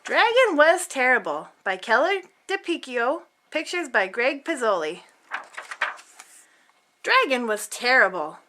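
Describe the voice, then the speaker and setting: A woman's voice: sits high, sing-song, unhurried, bright, forceful tone, slight background noise. A woman reading a children's picture book aloud as host, theatrically, drawing out words for emphasis.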